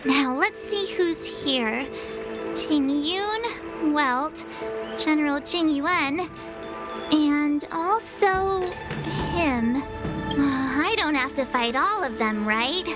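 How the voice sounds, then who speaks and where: A woman voicing a bubbly, candid, easily flustered girl adventurer in a video game, informal and expressive. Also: high voice, extremely slow, music in the background, full character voice. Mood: uneasy, puzzled. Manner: informal, hesitant, expressive, playful. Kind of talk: scripted video game voice acting.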